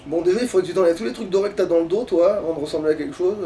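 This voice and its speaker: A man's voice: high voice, racing, warm tone, slight background noise. A man playing and commentating a video game in casual, slangy chat with crude swearing. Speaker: let's play player and commentator. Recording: somewhat distant mic.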